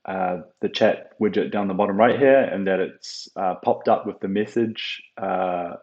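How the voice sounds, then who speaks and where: Low-voiced man in an echoey room. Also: a little mellow. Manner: hesitant, halting explanation with frequent ums and uhs. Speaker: software tutorial presenter. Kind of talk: unscripted screen-share walkthrough. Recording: roomy, echoing, slightly dull sound.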